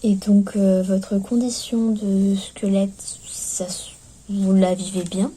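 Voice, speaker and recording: A woman speaks softly in an ASMR roleplay as clinic staff, often slipping into a whisper, with gentle, hesitant, attentive patter. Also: medium pitch, level tone, takes it slowly, husky. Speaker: ASMR performer playing skeleton clinic staff. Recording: lo-fi recording with background noise.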